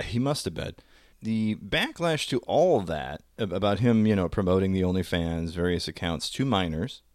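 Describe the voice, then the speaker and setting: A man's voice: deep voice, no echo, clean studio sound. A man co-hosting a casual comedy podcast, telling stories in a wry, wordy way with wisecracks. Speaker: podcast co-host.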